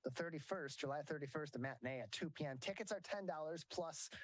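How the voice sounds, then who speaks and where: A man's voice: a little high, fast talker, dry recording. A man hosting a broadcast interview, speaking in a wordy way and repeating details for listeners. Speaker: interview host.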